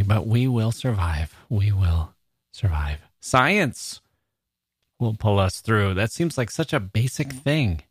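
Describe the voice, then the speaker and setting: A man hosting a podcast in a studio, chatting in a wry, theatrical, mock-grand way with dramatic pauses. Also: on the low side, husky, no room sound. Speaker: podcast host.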